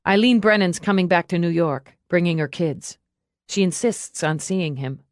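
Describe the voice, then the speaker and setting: A woman's voice: lowish voice, normal speed, no room sound, studio quality, lightly acted. A woman voicing a loyal female police aide in an audiobook, dutiful and wryly cheeky as she reports. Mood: reporting. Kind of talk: audiobook character dialogue.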